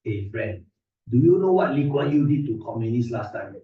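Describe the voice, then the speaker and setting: A man's voice: mid-range voice, lively intonation, normal speed, close to an everyday voice. An actor playing a brash, indignant Singaporean man who talks in a Singaporean English accent and answers in blunt, slangy retorts. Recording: video played over hall speakers, echoey, distant, dull.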